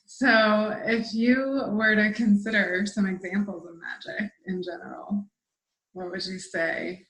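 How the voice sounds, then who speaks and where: A woman's voice: mid-range voice, fairly even intonation, unhurried, a bit bright. A woman hosting an interview as a casual chat, musing informally and affirming what she hears. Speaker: interviewer, host. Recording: echoey room sound.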